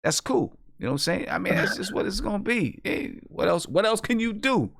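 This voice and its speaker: A man's voice: high voice, sing-song, fairly quick, vocal fry, fairly bright tone. An American man hosting a music review show in casual, slangy conversation, giving opinions with some swearing.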